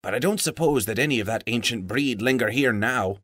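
A man's voice: medium pitch, a little fast, fairly bright tone, no room sound, clean studio sound, natural voice. A man voicing a proud old male dwarf in a storybook, earnest and wary, with emphatic, old-fashioned phrasing. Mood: reminiscing.

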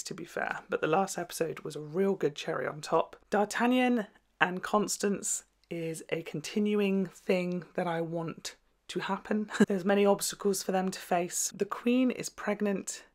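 A young man giving casual, expressive reaction commentary, chatting to camera and exclaiming in short bursts. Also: high voice. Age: young adult. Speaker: reaction video host. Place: small indoor room at home.

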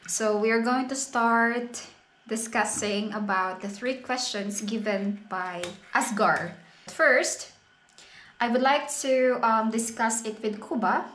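Young filipina girl vlogging, slow and soft, highish voice, echoey room with faint noise. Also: steady tone. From Philippines. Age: young adult. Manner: slow, gentle, giggles often. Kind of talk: casual chat. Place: small indoor kitchen. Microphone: camera at arm's length.

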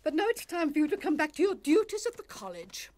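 A woman's voice: high-pitched, very animated, fast, background noise. A woman voicing a prim, snobbish older English college matron in a cartoon, with formal, scolding speech. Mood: stern. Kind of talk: cartoon voice acting.

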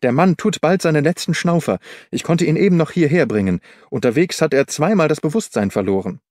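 Man acting agitated, urgent gentleman. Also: on the high side, expressive, brisk, no echo, studio quality, lightly acted. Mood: urgent, loud. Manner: urgent, exclaiming. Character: agitated gentleman, breathless from rescuing a dying companion. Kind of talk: audiobook character dialogue.

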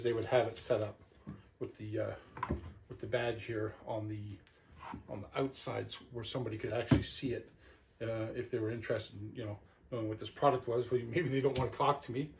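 Male, middle-aged, mid-pitched voice, casual product reviewer thinking aloud as he works; some background noise and clicks. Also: steady tone, fairly quick, creaky. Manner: talks to himself while handling gear. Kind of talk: casual unboxing and hands-on review. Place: indoor living room.

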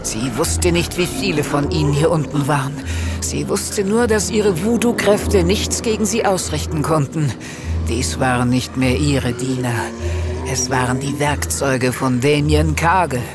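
A man's voice: fairly high pitch, unhurried. A man narrating a scripted German horror radio drama in the third person. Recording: studio narration over prominent music.

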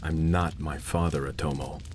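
An actor playing a stoic, brooding warrior prince in a video game, terse in manner. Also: male voice, very deep voice, fairly even intonation, normal speed, a little hiss or hum. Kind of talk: dramatic game cutscene acting.

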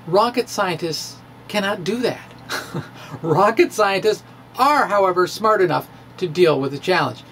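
Higher-voiced American man, wry and theatrical. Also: a little hiss or hum. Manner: theatrical, wry, self-deprecating. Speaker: science video blogger presenting his physics speculation. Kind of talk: dramatic reading of a science blog post with casual asides. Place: small indoor room with bookshelves. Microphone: handheld-style microphone on a stand, close in front.

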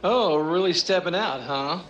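A man playing a smug young show-off with halting delivery in an old-time radio comedy. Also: high voice, fairly bright tone, lo-fi sound. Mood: breezy, smug.

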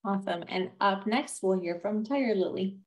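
A woman's voice: medium pitch, normal speed. A woman admissions officer introduces a webinar over a video call. She talks in a friendly, organized way, with frequent "um" fillers between points. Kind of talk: scripted webinar hosting.

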